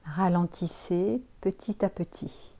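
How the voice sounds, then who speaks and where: Slow, mellow woman guiding relaxation. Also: lowish voice. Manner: calm, unhurried, soothing instructions with pauses. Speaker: yoga nidra instructor. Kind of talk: guided relaxation meditation.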